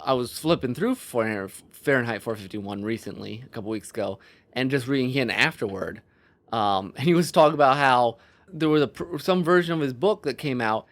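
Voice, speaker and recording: A man speaks as a podcast co-host in an informal discussion. His delivery is halting and exploratory, as he works out his thoughts aloud and often restarts mid-sentence. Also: medium pitch, animated. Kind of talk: casual discussion of storytelling.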